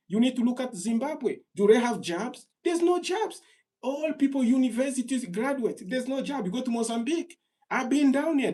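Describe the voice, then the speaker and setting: A man from Congo hosting a live stream Q&A, giving earnest, repetitive immigration advice to callers and rising in pitch when worked up. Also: warm tone.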